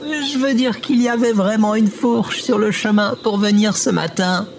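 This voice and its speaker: A woman's voice: medium pitch, normal speed, background noise, natural voice. A woman playing an anxious, self-pitying robot guard in a comedic audio drama, dramatic and whiny. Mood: distraught, whining.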